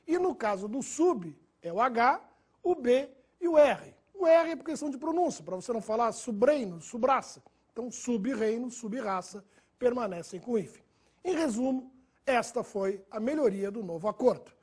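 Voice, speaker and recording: A man's voice: very high-pitched, lively intonation, moderate pace, darkish tone, breathy edge. A man teaching a recorded grammar lesson, listing example words one by one and checking in with his students. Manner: didactic, lists words and checks in with "perfeito?" and "né?".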